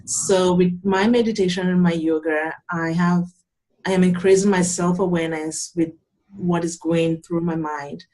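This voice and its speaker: A young adult woman from Cameroon, a yoga teacher calmly and earnestly explaining her practice in an interview. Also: lowish voice, moderate pace, low-quality recording. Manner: calm, earnest, explanatory with frequent restarts. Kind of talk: interview answers, conversational.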